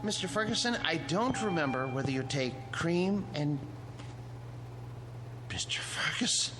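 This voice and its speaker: An actor playing a lonely, devoted wax museum caretaker in an audio drama, hesitant and pleading, with a breathy voice that often drops to a whisper. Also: male voice, on the high side, lively intonation, a little slow, over music. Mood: shocked, accusing.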